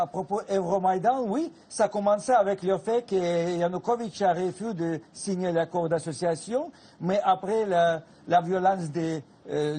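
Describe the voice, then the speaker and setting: A Ukrainian man speaks on a TV debate panel, stopping often to find his words. His voice sits high, and it sounds husky and mellow. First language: Russian. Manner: halting, repeats words while searching for them. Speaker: debate guest, writer. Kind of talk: televised debate.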